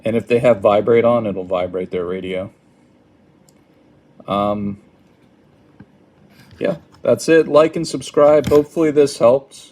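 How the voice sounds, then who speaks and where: A man giving an informal hands-on product tutorial in a hesitant, halting, apologetic manner. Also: medium pitch, a little mellow. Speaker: product demonstrator. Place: indoors at a wooden table.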